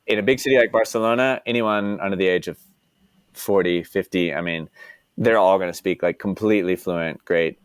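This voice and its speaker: A man's voice: deep voice, fairly quick, clear, resonant tone, no echo, studio quality. A young man from Dallas, chatting casually as a podcast guest, rambling and filling his talk with "like" and "you know". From Dallas, United States. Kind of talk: casual interview chat.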